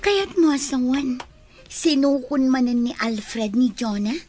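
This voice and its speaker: A woman's voice: high-pitched, slow pace, a little mellow, soft background music. A young woman playing a shy, wistful girl hiding an unrequited love, in a radio drama.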